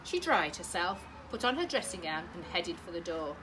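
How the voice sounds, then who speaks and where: A British woman, a primary teacher, reading a picture book to young children with expressive, carefully phrased delivery. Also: lowish voice, moderate pace, noisy background.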